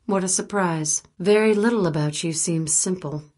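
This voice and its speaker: A woman's voice: fairly low pitch, normal speed, a little mellow, slightly rough. An actress voicing a wry, probing, guarded woman journalist in a spy thriller video game, speaking in a dry, teasing, conversational way. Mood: probing, suspicious. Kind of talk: naturalistic video game dialogue acting.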